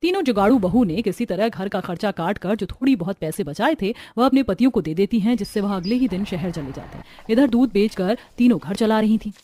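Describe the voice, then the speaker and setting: A woman's voice: medium pitch, expressive, a little fast, few pauses, warm tone, a little hiss or hum. A woman narrator reading a scripted cartoon story. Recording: music behind the voice.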